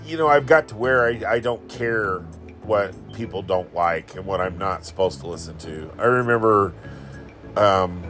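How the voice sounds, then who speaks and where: A man hosting his own podcast in casual, rambling solo talk, with a just-woken morning voice, recording from bed at home. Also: low-pitched. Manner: rambling, self-deprecating, chuckling. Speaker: podcast host.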